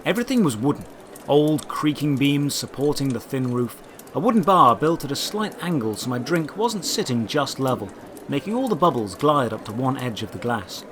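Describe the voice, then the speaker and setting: Animated man narrating a fantasy story. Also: mid-range voice, normal speed, slight background noise. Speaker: narrator and writer of the series. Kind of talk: dramatic scripted first-person storytelling.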